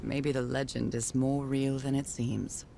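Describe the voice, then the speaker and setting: A thoughtful woman explorer from a 1930s adventure game, speaks her searching thoughts aloud to herself. Noisy ambience sits clearly behind her voice. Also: a bass voice, little pitch movement, measured pace, dark tone, full character voice. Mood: pensive. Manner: pensive, musing aloud to herself. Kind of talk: video game character monologue.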